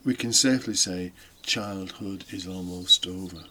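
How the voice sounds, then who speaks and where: A British man delivers a homily in a fairly low, bright voice. He explains in a reflective, conversational way, dropping in informal asides. There is faint noise behind him. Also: measured pace. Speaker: homily podcast host, deacon. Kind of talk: informal homily, partly scripted.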